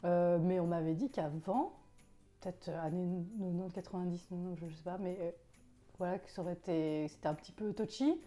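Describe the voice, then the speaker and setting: A lively, talkative woman in her forties, grown up outside Paris, whose Parisian accent has worn soft. Her voice sounds mellow and warm as she chats, jokes and laughs easily through a relaxed interview. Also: fairly low pitch, a little fast, faint music behind. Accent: Parisian French, softened. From Paris suburbs, France. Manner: chatty and animated, laughs often. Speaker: guest. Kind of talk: casual interview chat.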